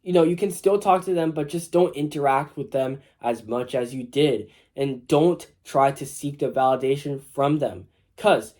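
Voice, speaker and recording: A man's voice: medium pitch, dark tone. A young man in his late teens with a mild cold, giving casual, rambling self-improvement advice to his viewers. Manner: informal, loose and rambling, full of "you know". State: slightly sick with a mild cold. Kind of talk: casual advice vlog to camera.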